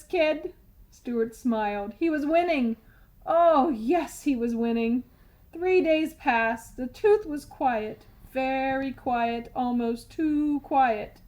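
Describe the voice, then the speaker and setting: A woman reading a children's storybook aloud as scripted narration, with deliberate, dramatic emphasis. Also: sits high.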